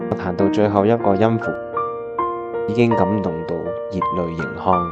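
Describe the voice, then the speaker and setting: A man's voice: deep voice, steady tone, moderate pace, lo-fi sound, close to an everyday voice. An actor with a Hong Kong accent playing a lovesick young male pianist, narrating a scripted radio drama in an earnest, melodramatic way. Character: lovesick, heartbroken young male pianist hiding his feelings. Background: piano music.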